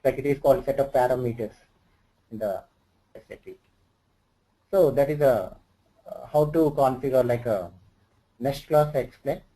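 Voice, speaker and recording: Halting Indian man with a mellow voice. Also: medium pitch, poor audio. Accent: Indian English. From Andhra Pradesh, India. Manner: halting, filler-heavy, loose grammar. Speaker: SAP HR instructor. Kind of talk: informal one-on-one tutoring.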